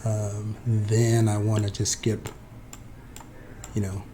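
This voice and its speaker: A man with a cold-roughened, hoarse voice explaining an options trade in a halting way, full of fillers and restarts. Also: on the low side, little pitch movement, background noise. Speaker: trading tutorial presenter. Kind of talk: unscripted screen-share explanation.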